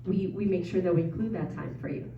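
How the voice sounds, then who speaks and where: A woman's voice: on the low side, fast, slight background noise. A woman with a Brazilian Portuguese accent, Portuguese as her first language, giving a casual travel presentation to a live audience with frequent ums and uhs. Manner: chatty, frequent ums and uhs, self-deprecating. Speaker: travel presenter at an info session. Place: meeting room with an audience. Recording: echoey room from far off, dull sound.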